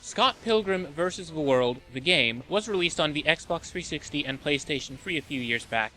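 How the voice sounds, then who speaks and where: Bright, animated man presenting a list show. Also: a little high, normal speed, faint music behind, poor audio. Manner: wry, tongue-in-cheek. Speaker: host of a video game and movie show. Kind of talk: scripted list-show narration.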